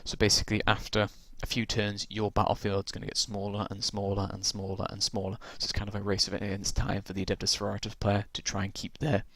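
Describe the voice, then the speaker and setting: A man casually walking through tabletop game rules, rambling with lots of ums and restarts, his voice gone croaky from talking so long. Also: lowish voice, monotone, quick pace, hardly any pauses, a bit bright, no echo.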